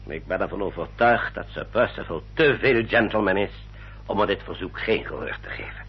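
An actor playing a courteous English family solicitor in a radio drama, speaking in a formal, measured, explanatory manner. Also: male voice, deep voice, breathy edge, heavily acted. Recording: dull, muffled old radio recording.

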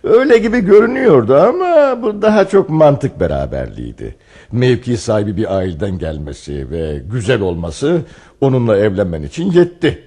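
Radio theatre narrator type, wry n knowing, low mellow voice, kinda husky, teasing. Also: male voice, very animated, normal speed. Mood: insistent, knowing. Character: wry male storyteller who chats knowingly with his hero. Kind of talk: Turkish radio theatre acting, narration and dialogue.

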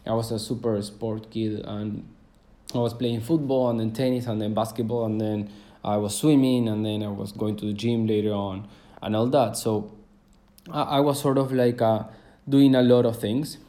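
Mellow young man, rambling. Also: lowish voice, steady tone, normal speed. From Argentina. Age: 20s. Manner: hesitant and rambling, full of fillers and restarts. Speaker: podcast host. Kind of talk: casual solo podcast monologue.

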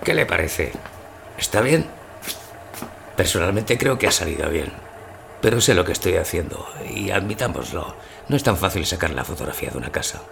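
An actor playing a gruff, self-assured photographer in his fifties in an audio drama, gravelly and terse. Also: low voice, big pitch swings, moderate pace, noisy background, full character voice. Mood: self-satisfied. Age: 50s. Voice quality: gravelly, creaky. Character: gruff handless door-to-door photographer, man of fifty, self-assured.

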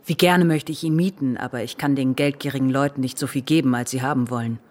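Casting: young coquette with a deep, low voice, wistful, literary radio play. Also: female voice, fairly even intonation, fast, darkish tone. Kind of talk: literary radio drama dialogue.

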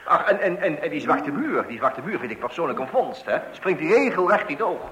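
Monter, hoog pratende echtgenoot. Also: male voice, a little high, very animated, brisk, fairly bright tone. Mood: proud. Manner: chatty, hesitant, repeating words, chuckling self-satisfiedly. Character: cheerful, oblivious husband proud of his eccentric redecorating. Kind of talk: radio play comedy acting. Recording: roomy, noisy old radio play recording.

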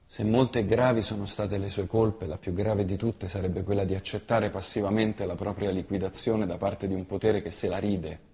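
Husky-voiced man declaiming in a hall. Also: low-pitched. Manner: impassioned declamation swinging from hushed to loud. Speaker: stage actor. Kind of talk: theatrical monologue reciting an essay. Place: theatre stage.

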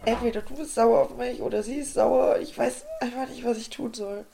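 Troubled young woman for audio drama: apologetic, halting, naturalistic delivery with some creak. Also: fairly high pitch, fairly even intonation, a crowd in the background. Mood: anxious, hesitant. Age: young adult. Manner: hesitant, halting. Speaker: lead actress and narrator.

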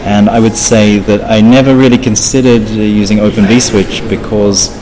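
A man's voice: fairly low pitch, fairly even intonation, normal speed, background noise. A man giving technical interview answers as a guest, often repeating and rephrasing as he explains. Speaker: interview guest, open source project founder. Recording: busy crowd chatter behind the voice. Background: crowd of people talking.

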